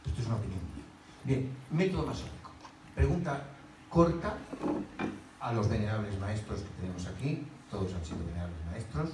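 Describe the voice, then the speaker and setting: Man, slightly deep, formal public remarks, far from the mic, noisy hall. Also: expressive, a little fast. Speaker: panel participant. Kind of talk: unscripted remarks and question at a public talk. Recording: echoey room, distant mic, noisy.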